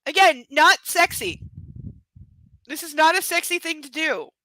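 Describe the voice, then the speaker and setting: A woman reads a novel aloud on a live stream from home, her bright, fairly high voice close and dry on a camera mic. She keeps stopping to poke fun at the story in chatty, sarcastic asides. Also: measured pace, no room sound, rough recording. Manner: sarcastic, chatty asides between read passages. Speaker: book reader and streamer. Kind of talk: live book reading with casual commentary.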